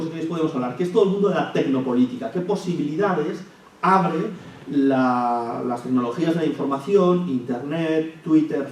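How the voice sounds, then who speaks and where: A man giving a public lecture, measured and thinking aloud with frequent restarts and hesitations. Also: on the high side, darkish tone. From Spain. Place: lecture hall. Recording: echoey hall, from far off, faint hiss.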